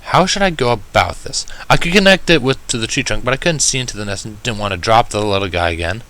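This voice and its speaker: A man narrating a first-person story in an audiobook style, reading in a measured, wry manner. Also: on the low side, animated, pushed and bright. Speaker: fan fiction reader.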